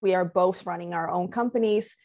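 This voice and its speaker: A woman's voice: on the low side, fairly quick, gravelly, a little mellow. Earnest woman. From Finland. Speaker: podcast guest, entrepreneur. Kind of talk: casual conversation.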